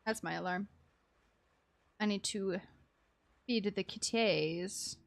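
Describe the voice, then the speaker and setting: Female game streamer, mid-pitched, casual and chatty, giggly manner, close dry mic. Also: slow, gravelly, no room sound.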